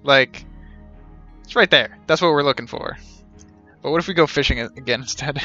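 A man's voice: mid-range voice, moderate pace, pushed and bright, dull audio. A man in his thirties giving casual, wry live game commentary, playfully muttering and repeating himself. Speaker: game streamer. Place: small indoor room with shelves. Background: game music.

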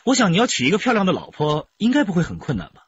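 Sardonic male detective, slightly high voice, probing, radio drama, dull sound. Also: wildly swooping pitch, very fast. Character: wry, probing male police interrogator. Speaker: actor in police radio drama. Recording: muffled, faint background noise.

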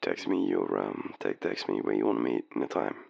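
A very low, heavily creaky Australian male voice from an actor playing a drunk young man with a crush. He stammers and apologises in an intimate audio roleplay, halting between murmured phrases. The pitch wanders widely, and the recording sounds slightly dull. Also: sing-song, darkish tone, heavily acted. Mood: sheepish, eager. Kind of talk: intimate boyfriend roleplay, as a late-night phone call.

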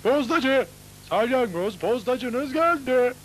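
A man voicing a slow, self-important snail postman in a dubbed cartoon, halting and officious. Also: very high-pitched, expressive, very noisy, exaggerated voice. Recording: old cartoon dub over music.

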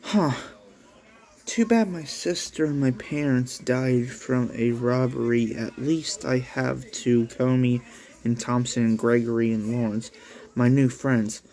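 A man's voice: medium pitch, steady tone, slow pace, warm tone. Man voicing a nonchalant anime girl, drawling. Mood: wistful. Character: laid-back, cool eighteen-year-old anime transfer-student girl.

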